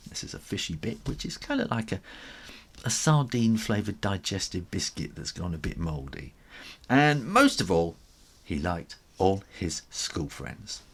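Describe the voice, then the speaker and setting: A man reading a children's story aloud in an expressive, animated style with conversational asides. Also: low-pitched, a little slow, husky. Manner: expressive, with chuckles and spoken asides.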